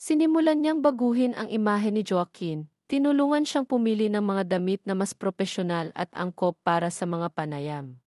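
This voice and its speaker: A woman with a Filipino accent reading a romance story aloud as a steady, scripted narration. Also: medium pitch, moderate pace, no echo, clean studio sound. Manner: steady storytelling read, voicing all characters lightly.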